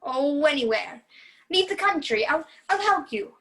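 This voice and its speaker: A woman acting a feisty, sharp-tongued young woman who reluctantly helps a fugitive, in a radio play over a video call. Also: sits high, lively intonation, unhurried, dry recording. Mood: apologetic. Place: video call, dry room. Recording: muffled video-call audio.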